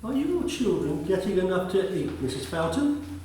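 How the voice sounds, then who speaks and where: A man's voice: high-pitched, sing-song, moderate pace, mellow, slight background noise. Man playing a concerned attendance officer in a radio play, really echoey and far off, formal but sympathetic. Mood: concerned questioning. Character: earnest, concerned male school attendance officer visiting a struggling mother.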